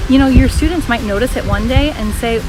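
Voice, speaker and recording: A woman teacher with a booming, fairly high voice hosts her vlog, talking straight to the viewer. She sounds upbeat and encouraging. Loud music plays under her the whole time. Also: brisk, poor audio. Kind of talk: casual vlog advice to teachers.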